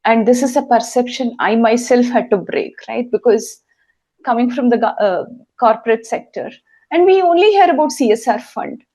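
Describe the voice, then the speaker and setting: A woman's voice: on the high side, normal speed, dark tone. A woman host, asking long, winding interview questions and correcting herself along the way. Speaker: podcast host.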